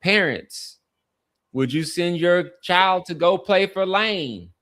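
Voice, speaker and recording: A man with a fairly high voice hosts a casual sports discussion. He is emphatic and tends to repeat key phrases to drive a point home. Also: animated, slow, a bit bright. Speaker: sports talk podcast host.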